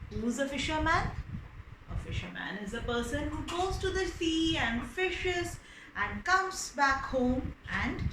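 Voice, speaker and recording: A woman telling stories for children, explaining as she goes, expressive and repeating words for emphasis. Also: sits high. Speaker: storyteller. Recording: roomy echo with faint background noise.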